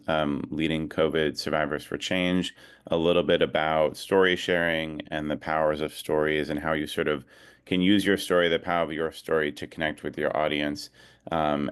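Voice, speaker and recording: A man with an extremely low, clear and resonant voice gives an informal advocacy talk over a video call. He speaks reflectively, feeling his way through his points with frequent hesitations. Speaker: advocacy organization leader, guest speaker. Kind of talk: informal talk at an online rally.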